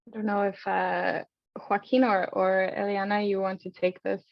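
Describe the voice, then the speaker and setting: A woman hosting and moderating an online panel discussion, speaking conversationally with frequent hesitant pauses. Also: mid-range voice, level tone, creaky, dry recording, dull audio. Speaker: host and moderator of an online event.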